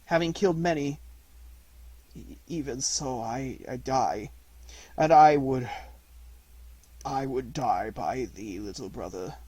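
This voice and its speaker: A man's voice: medium pitch, mellow, slightly rough, dry recording. A man voicing a dying old wolf, gasping. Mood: weary. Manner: gasping, halting, coughing speech. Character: dying old male lone wolf, proud, weary.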